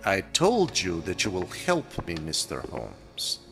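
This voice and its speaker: Very slow, deep sinister old baron. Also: male voice, huge pitch swings, fairly bright tone, faint music behind, full character voice. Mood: smug menace. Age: older. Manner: slow, deliberate, menacing. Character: sinister older villainous baron, imperious and threatening. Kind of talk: audio drama acting. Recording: echoing hall-like reverb.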